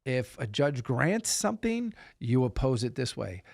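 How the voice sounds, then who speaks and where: A man's voice: mid-range voice, very animated, measured pace, creaky, darkish tone, no echo. A man telling a personal story in conversational podcast style, with an emphatic, incredulous manner. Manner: emphatic, incredulous, repeats words for stress. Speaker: podcast host telling his own story.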